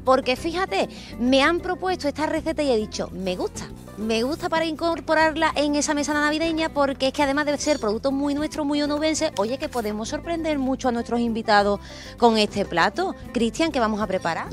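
A woman's voice: high voice, a little fast, soft background music. A woman, reporting live on a cooking segment with a handheld mic, chatty and upbeat. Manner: chatty, upbeat, prompting the chef. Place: outdoor seaside restaurant terrace, then indoors.

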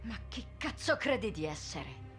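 A woman's voice: a little high, very animated, normal speed, a bit bright. An actress playing a defiant, bitter woman financier under interrogation in a video game, scornful and intense. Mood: angry, desperate. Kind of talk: dramatic video game acting. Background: music.

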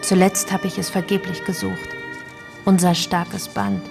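Creaky, despairing woman, violin behind. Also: on the low side, level tone, unhurried. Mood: resigned. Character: despairing woman chemist in inner monologue, early 1900s. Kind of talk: radio play monologue. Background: violin music.

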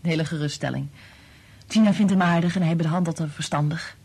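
A woman's voice: low-pitched, fairly quick, mellow, breathy edge. An actress playing a protective wife and mother in a radio play, earnest and reproachful. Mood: hurt.

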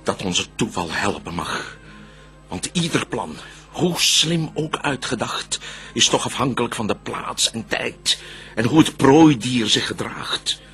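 Slow, sharp-voiced scheming devil leader. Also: male voice, medium pitch, lively intonation, faint music behind. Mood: calculating, sinister. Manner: declamatory, slow theatrical verse delivery. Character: scheming male devil leader, proud, cautious, vengeful. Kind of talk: radio drama verse acting.

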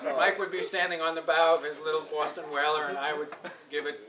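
A man's voice: a little high, steady tone, quick pace, a loud crowd. A man being honored at a club dinner, bantering in an amused, self-deprecating way. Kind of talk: casual banter at a party. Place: indoor function room with a crowd. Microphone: far camera. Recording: distant, noisy party audio.

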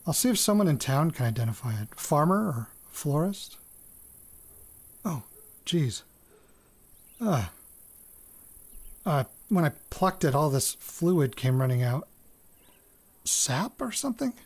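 A man's voice: fairly high pitch, big pitch swings, slow pace, long pauses, darkish tone, slightly rough, heavily acted. An actor playing a hesitant farm-raised man in an audio drama, murmuring and thinking aloud as if into a recorder. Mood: uneasy, puzzled.

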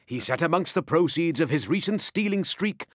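Animated, high-pitched male storyteller. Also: moderate pace, no room sound, clean studio sound. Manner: dramatic storyteller delivery. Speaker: narrator. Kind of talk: rhyming scripted storytelling.

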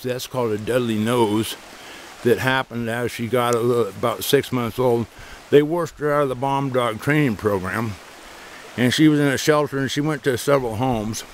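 Man, mid pitch, casual rambling outdoor vlog talk, handheld camera, faint background noise. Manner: talks to his dog between asides, chatty and meandering. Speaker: service dog trainer and vlogger. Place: outdoors by a creek.

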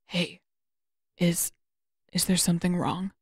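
A woman's voice: on the low side, monotone, takes it slowly, darkish tone, breathy edge, no room sound, clean studio sound. A woman voicing a worried, bewildered teenage prince with hesitant questions in an audiobook. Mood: concerned.

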